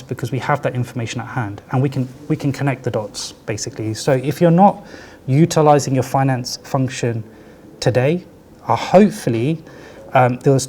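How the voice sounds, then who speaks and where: A man with a quiet voice giving a conference talk as a finance chief, conversational and peppered with "right?" asides. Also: mid-range voice, a little hiss or hum. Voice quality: soft, quiet voice. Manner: conversational, tag questions like "right?" and "yeah?". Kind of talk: conference presentation. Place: conference venue with audience.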